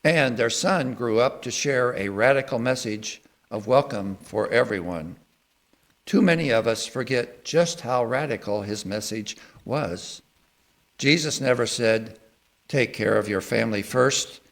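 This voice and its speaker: A man with a mid-range voice addresses a congregation as a service speaker. He speaks in a measured, earnest way, moving between sermon and liturgical reading. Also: mid-range voice.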